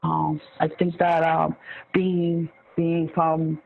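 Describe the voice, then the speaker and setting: Middle-aged woman, halting and earnest, webcam audio, muffled, faint hiss. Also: sits low, completely flat delivery, gravelly. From Guatemala. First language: Spanish. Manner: halting, searching for words, many restarts. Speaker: guest speaker. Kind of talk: earnest personal talk to a forum. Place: living room at home. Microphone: webcam on a video call.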